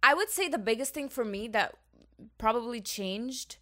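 A woman's voice: a little high, lively intonation, normal speed, a bit bright. A woman hosting a casual chat, speaking in an earnest, reflective way.

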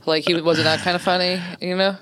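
A woman comedian with a deep voice, chatting casually as a guest, dry and laughing, with hesitant restarts. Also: little pitch movement, a little fast, a bit bright. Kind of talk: casual podcast chat.

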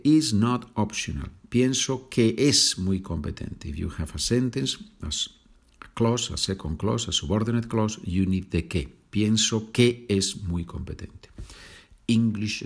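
A man from Spain with a Spanish accent, teaching a language lesson, patient and clearly enunciating, repeating phrases. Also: lowish voice, a little slow. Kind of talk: slow, repetitive language lesson.

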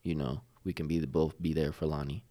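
Very deep-voiced man in his late twenties. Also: little pitch movement, brisk, mellow. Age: 20s. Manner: rambling, leans on filler phrases. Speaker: podcast guest. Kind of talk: casual conversation.

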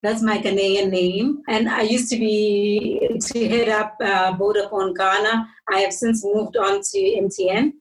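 A woman from South Africa, a telecom executive, introducing herself deliberately. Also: medium pitch, fairly even intonation, rough recording.

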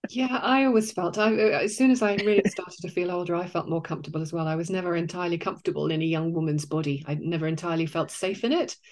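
A middle-aged woman hosting a conversational interview, speaking in measured phrases. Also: lowish voice, fairly even intonation, darkish tone. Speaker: podcast host and interviewer. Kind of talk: conversational interview with prepared introduction.

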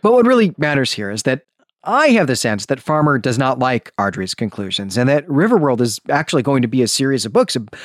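Male podcast host, mid-pitched, recorded dry and close; explanatory, emphatic book-analysis delivery. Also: no room sound. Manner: emphatic, explanatory, often checks in with "right?". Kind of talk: book analysis, semi-scripted commentary.

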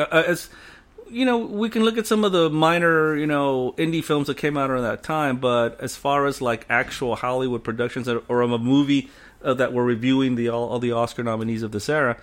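A man's voice: mid-range voice, a bit bright. A man co-hosting a casual film discussion, blunt and opinionated, often laughing. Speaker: podcast co-host.

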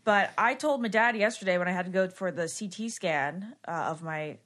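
A young woman with a distinctly nasal voice chats casually at a middle pitch. She is curious, firing off quick follow-up questions in an easy conversational manner. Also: a bit bright. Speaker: podcast co-host. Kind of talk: casual chat.

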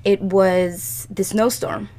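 A woman in her thirties, hoarse from a cold, chatting casually as a podcast co-host and rambling with many fillers and restarts. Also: mid-range voice, fairly even intonation, takes it slowly, dark tone. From Chile. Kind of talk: casual chat.

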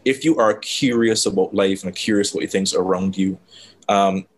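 A man from Barbados, a guest talking about building a brand in a relaxed, dry conversational way. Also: sits low, normal speed. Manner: relaxed, reflective, self-described dry delivery. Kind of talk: casual interview conversation.

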